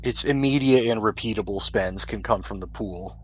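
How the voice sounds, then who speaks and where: A man acting as game master, narrating and explaining rules in a measured, explanatory way. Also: lowish voice, faint music behind.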